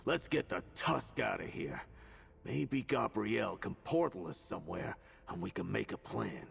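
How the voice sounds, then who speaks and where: A weary, self-doubting video game fighter with a rough, gravel-filled voice. He is informal and wry, and his pitch moves around freely. The sound carries a long reverb. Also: animated, moderate pace, full character voice. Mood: wry, rallying. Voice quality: gravelly, husky. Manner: informal, calls listener pal. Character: gruff, weary male fighter friend, self-blaming but wry.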